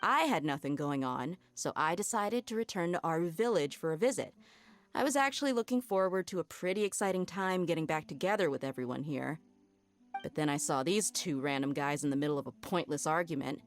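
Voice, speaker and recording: A young mercenary woman with a lowish voice in a video game. She is cocky, casual and quick to temper, with light music behind her. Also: lively intonation. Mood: irritated recounting. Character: brash, hot-tempered young female mercenary with swagger.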